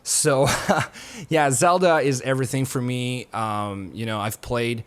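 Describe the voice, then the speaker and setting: A man's voice: mid-range voice, fairly even intonation, a little slow, clear, resonant tone. A young man and YouTube host talking to his viewers from his home studio. He talks casually and in a rambling way, with the odd swear word. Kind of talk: casual chat to camera.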